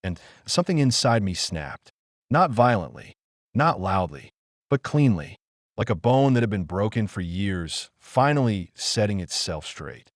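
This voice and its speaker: Man acting a low-voiced, wounded trauma survivor. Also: sing-song, dry recording, clean studio sound. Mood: tense. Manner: deliberate, emphatic, repetitive phrasing with dramatic pauses. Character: wounded adult male trauma survivor, solemn, defiant, confessional. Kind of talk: dramatic monologue, audio play.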